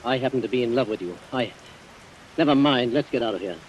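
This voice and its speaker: An actor playing an honourable, blunt gentleman in love in an old radio drama, speaking with earnest directness. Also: mid-range voice, animated, lo-fi sound, close to an everyday voice. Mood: urgent, firm. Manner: blunt, earnest, halting when flustered. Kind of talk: 1940s radio acting. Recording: hissy old radio recording, rain sounds.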